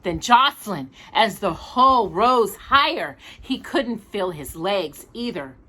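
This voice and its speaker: Bright-voiced woman reading a storybook. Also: medium pitch, expressive, slow pace. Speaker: storytime host reading a picture book aloud. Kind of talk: expressive storybook narration for a child.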